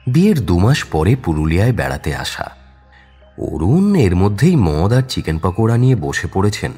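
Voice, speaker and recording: Male narrator for a thriller audio story, deep voice, animated dramatic reading. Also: normal speed, husky, soft background music.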